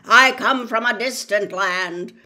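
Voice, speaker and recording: Lady doing an old fretful giant spider from a storybook, slow and imperious, really forceful. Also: on the high side, full character voice. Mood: indignant, proud. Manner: slow, emphatic, theatrical declaiming. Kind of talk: dramatized storybook reading.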